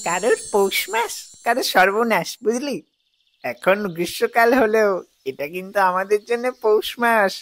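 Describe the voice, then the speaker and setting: A woman voicing a mischievous, eager young boy in an animated audio story, cheeky, bossy and excitable. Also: medium pitch, moderate pace, natural voice. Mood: scheming glee.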